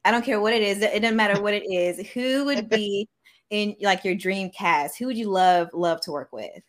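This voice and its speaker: A woman's voice: medium pitch, normal speed. A woman hosting a casual interview, chatting informally in an affirming, empathetic way. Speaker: interviewer, show host. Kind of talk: casual chat.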